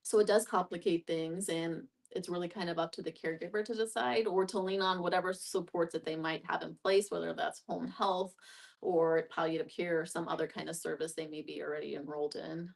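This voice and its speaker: A woman speaks in a fairly low voice with a lot of creak. She explains in a conversational group-discussion style, often checking for agreement. The microphone sits some distance away from her. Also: fairly even intonation, a little fast. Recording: voice picked up from a distance.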